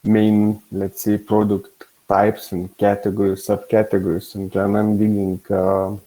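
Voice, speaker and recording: A man whose first language is Lithuanian, taking part in an online roundtable and speaking haltingly as he searches for words. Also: fairly low pitch, completely flat delivery, mellow, poor audio. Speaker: roundtable participant. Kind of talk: casual online roundtable chat. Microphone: video call.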